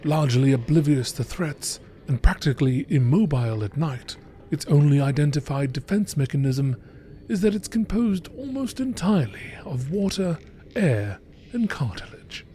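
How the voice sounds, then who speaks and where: A man delivers measured, scripted narration in the style of a nature documentary. His voice sits a little high and has a mellow tone, with lively, varied intonation. There is faint noise behind him.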